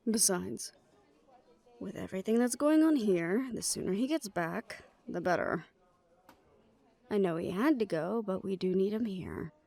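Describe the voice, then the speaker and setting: A woman's voice: medium pitch, expressive, measured pace, creaky, dark tone. A woman playing a supportive, protective big-sisterly character, firm and dryly sardonic, in an audio roleplay drama. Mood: worried.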